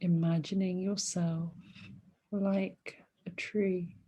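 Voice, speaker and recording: A woman leading a guided meditation as a teacher, speaking softly and soothingly in short phrases with long pauses between them. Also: on the low side, level tone, husky. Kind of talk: guided meditation, body scan.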